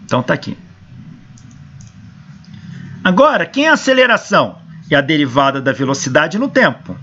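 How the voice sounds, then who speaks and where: Hoarse Brazilian man lecturing. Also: on the high side, very animated, normal speed, pushed and bright, a little hiss or hum. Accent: Brazilian Portuguese. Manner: explains step by step, asks and answers his own questions. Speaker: engineering professor. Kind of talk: lecture working through equations. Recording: dull lo-fi recording.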